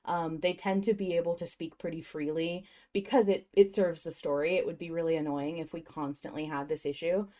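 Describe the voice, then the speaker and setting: Female podcast host, lower-pitched voice, casual and reflective fan chat, wordy and enthusiastic. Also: steady tone, fairly quick, hardly any pauses, mellow.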